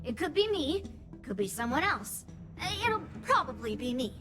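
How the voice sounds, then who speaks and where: A woman's voice: high-pitched, very animated, normal speed. An actress playing a cocky, blunt young swordswoman in a video game, brash, self-assured and casual. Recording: game music behind the voice.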